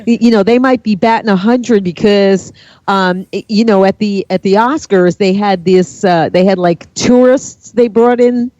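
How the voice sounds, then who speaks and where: A woman's voice: mid-range voice, warm tone, no room sound, highs cut off. Wisecracking woman radio guest. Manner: wisecracking, quick retorts. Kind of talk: casual talk radio chat.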